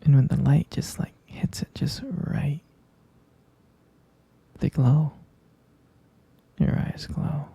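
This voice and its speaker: A man playing a devoted, smitten boyfriend in an intimate ASMR roleplay, speaking in a breathy, husky whisper, hesitant and tenderly repeating his phrases. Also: a little high, fairly even intonation, takes it slowly, long pauses, warm tone, full character voice. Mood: adoring, playful.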